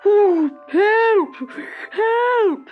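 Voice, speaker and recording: A woman playing a blustering, excitable storybook king. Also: very high voice, drawn-out words, narrow, tinny audio, soft background music, voice pushed up, heavily acted. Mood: panicked. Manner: blustering, complaining. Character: fussy, excitable storybook king, man, sock-obsessed.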